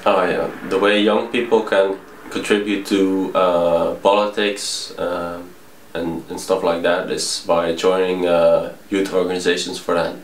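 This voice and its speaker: A man, answering interview questions with many pauses. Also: low voice, little pitch movement. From Tilburg, Netherlands. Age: 20s. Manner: hesitant, full of pauses. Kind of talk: casual street interview answers. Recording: echoey, noisy street spot.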